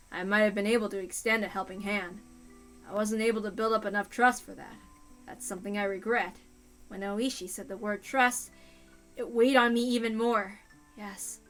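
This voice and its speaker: A woman's voice: medium pitch, moderate pace, fairly bright tone. A woman reading a story aloud as a dramatic first-person narrator, in a mournful, intense manner. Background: music.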